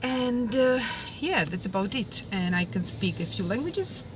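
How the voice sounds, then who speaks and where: A woman in her thirties with a Czech accent, talking casually about her work as a tour guide, unhurried and thoughtful with many pauses and fillers. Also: mid-range voice, expressive. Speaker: tour guide and language teacher. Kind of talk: casual talk to camera. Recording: distant mic, loud traffic noise outdoors.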